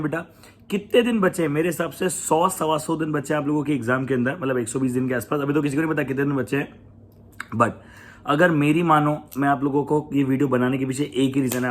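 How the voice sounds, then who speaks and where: A young adult Indian man with an Indian accent, a coaching teacher giving students a casual, emphatic pep talk, mixing English into Hindi and repeating his key points. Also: mid-range voice. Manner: mixes English into Hindi, addresses students as beta and bhaiya.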